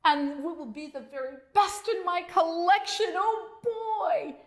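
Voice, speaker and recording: A woman's voice: very high voice, unhurried, pitched above the performer's own voice. A woman voicing an eager, good-hearted male rescue dog in a children's storybook, with a howling, exclaiming delivery. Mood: thrilled. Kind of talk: children's storybook character reading.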